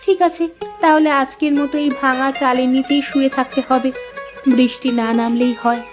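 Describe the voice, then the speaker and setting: A woman playing a practical village wife in a cartoon folk tale, with an expressive, homely delivery. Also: sits high, normal speed, close to an everyday voice. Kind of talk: cartoon dialogue voice acting. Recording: music behind the voice.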